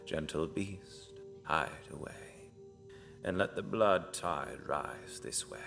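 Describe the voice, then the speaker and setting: A man playing a grave, devout cult seer who speaks in a solemn, cryptic, often hushed way in a dark fantasy audio drama. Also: rumbling low, gravelly, full character voice. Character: grave, devout male cult seer, ominous mentor to an assassin.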